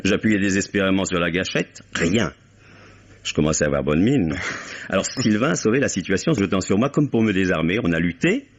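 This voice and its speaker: A man's voice: deep voice, expressive, brisk. An actor playing a jovial, teasing male lead actor in an old French radio drama, genial and theatrical. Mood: storytelling. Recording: dull old radio recording.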